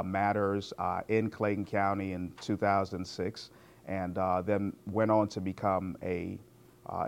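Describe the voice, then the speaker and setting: A middle-aged man with a fairly low voice speaks as a judge being interviewed. He explains things in a measured, orderly way, laying out his answers step by step. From born in Guyana, grew up in New York City.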